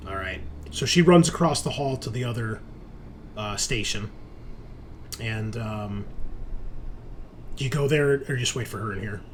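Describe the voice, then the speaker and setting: A man with a fairly low voice narrates a tabletop game as its game master. He builds descriptions piece by piece, haltingly, with clear noise and ambience behind him.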